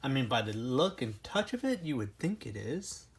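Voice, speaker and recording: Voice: mid-range voice, very animated, normal speed. A product reviewer giving casual unboxing commentary, informal and thinking aloud. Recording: clearly noisy home recording with clicks.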